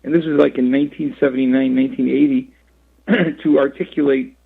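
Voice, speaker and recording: Gravelly husky guy in his 60s on a tinny phone line, lots of uhs and restarts. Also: mid-range voice, steady tone. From Rhode Island, USA. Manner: halting, many fillers and restarts. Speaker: guest. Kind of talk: radio interview, recounting his career. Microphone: phone call.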